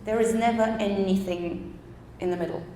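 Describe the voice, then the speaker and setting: A woman's voice: medium pitch, steady tone, moderate pace, dark tone, a little hiss or hum. Chatty German woman in an echoey hall. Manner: chatty, laughs often, acts out quoted dialogue. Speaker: photographer. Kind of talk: panel talk about her photo project. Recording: echoey hall, faint ambience.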